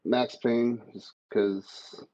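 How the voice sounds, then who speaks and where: A man's voice: mid-range voice, fairly even intonation, very slow, mellow, no room sound, highs cut off. A man giving casual, blunt and emphatic commentary as a podcast panelist, swearing freely.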